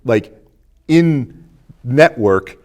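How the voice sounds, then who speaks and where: A man's voice: medium pitch, expressive, extremely slow, a little mellow. A man hosting a casual discussion, inquisitive, building up his questions in layers as he talks. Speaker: host posing discussion questions.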